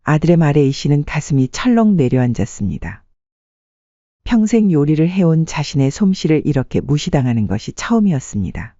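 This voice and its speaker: Male audiobook narrator for domestic stories: mellow, slightly high register, measured storytelling. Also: sing-song, dry recording, highs cut off.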